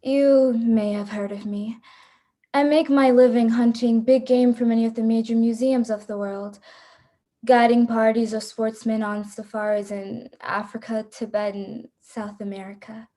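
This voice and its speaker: Worldly, self-assured hunter narrator for radio play, played by a woman; mellow, slightly high, measured. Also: level tone, close to an everyday voice. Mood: composed, proud. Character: worldly, self-assured big-game hunter recounting adventures. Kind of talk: first-person radio drama narration.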